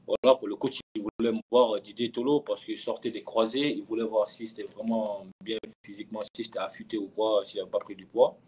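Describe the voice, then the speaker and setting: A man in his thirties with a fairly low, slightly rough-edged voice tells his story as an interview guest. His delivery is casual and conversational. He often doubles back on words and phrases to press a point. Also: fairly even intonation, a little fast, hardly any pauses, darkish tone. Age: 30s. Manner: repeats words and phrases for emphasis. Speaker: professional footballer, interview guest.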